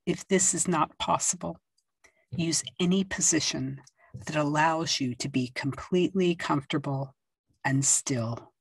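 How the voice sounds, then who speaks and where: A woman leading a yoga nidra meditation, speaking softly and soothingly with long pauses. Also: sits low, creaky, husky, dry recording, poor audio. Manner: slow, soft and soothing, with long pauses and gentle instructions. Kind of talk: guided meditation.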